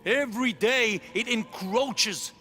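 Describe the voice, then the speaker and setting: A man's voice: very high-pitched, takes it slowly, bright, forceful tone, heavily acted. An actor playing an ambitious male ruler of a hidden city, intense and commanding in a video game cutscene. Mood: persuasive. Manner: intense, pleading then commanding.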